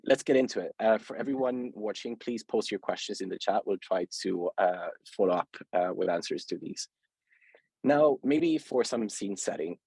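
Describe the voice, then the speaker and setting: A man's voice: on the low side, darkish tone, husky, no room sound, low-quality recording. A man with a Swedish accent hosting a webinar interview, speaking hesitantly with many fillers and restarts. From Sweden. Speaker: host, company founder.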